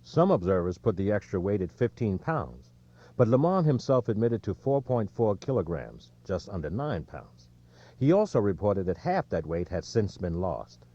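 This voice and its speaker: Male narrator for sports non-fiction audiobook: mellow, relaxed tone, lower register, slightly muffled recording. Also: moderate pace. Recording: dull, lo-fi audio.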